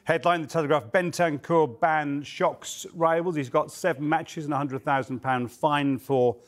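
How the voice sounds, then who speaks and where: A man presenting a sports news show, reading links in a crisp, polished way. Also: a little high, steady tone, normal speed. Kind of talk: scripted TV presenting.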